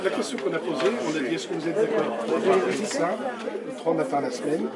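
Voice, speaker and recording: A man's voice: on the high side, fast. A man, a local resident, explaining something casually in colloquial, plain-spoken words. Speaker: local resident presenting a petition. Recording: loud crowd noise, off-mic. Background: loud crowd chatter.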